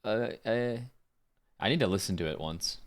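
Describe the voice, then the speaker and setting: A man's voice: on the low side, normal speed, slight background noise. A man streaming casually, talking offhand and jokingly, partly muttering to himself as he works. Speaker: streamer and voice actor. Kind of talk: casual livestream chat.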